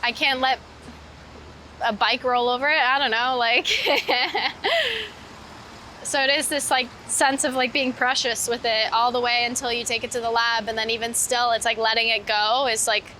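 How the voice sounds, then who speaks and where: Female, informal walk-and-talk interviewee, forward bright tone, recorded outdoors with faint traffic noise. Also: a little high, fairly even intonation, moderate pace. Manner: informal, heavy use of "like". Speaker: interview guest, street photographer. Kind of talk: casual chat while walking. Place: outdoors on a city street. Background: street ambience and vehicles.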